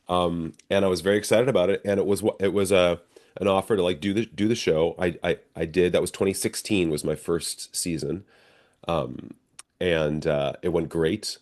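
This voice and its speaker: A man's voice: low-pitched, darkish tone. A middle-aged American man, an actor being interviewed, telling stories casually and acting out bits of dialogue as he goes.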